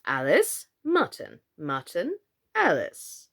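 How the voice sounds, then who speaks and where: A domineering storybook queen, all clipped orders and royal impatience, acted out by a woman. Her voice leaps from high, loud demands to low, grave mutters, never settling. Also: huge pitch swings, takes it slowly, gravelly, exaggerated voice. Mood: bossy. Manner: barking sharp commands.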